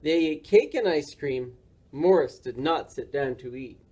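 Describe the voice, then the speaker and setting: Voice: medium pitch, animated, measured pace, mellow, breathy edge. A reader narrating a children's storybook for a library story time.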